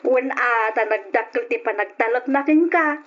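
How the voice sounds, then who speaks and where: A cheerful, greedy older mother who likes to gamble, acted by a woman. Her voice is a little high, with an Ilocano accent and old radio-drama delivery. The recording sounds dull. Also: normal speed, natural voice. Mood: prying, gleeful.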